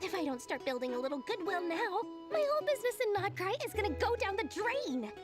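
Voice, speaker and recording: A woman's voice: very high voice, big pitch swings, quick pace, music in the background. A woman voicing a small, bubbly, greedy girl merchant in a video game, shrewd and boastful. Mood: indignant, haughty. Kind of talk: animated video game voice acting.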